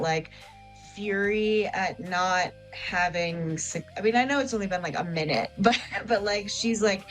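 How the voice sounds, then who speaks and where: A woman's voice: on the low side, poor audio. A woman playing a tabletop game, casually improvising and explaining her character's actions and feelings. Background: background music.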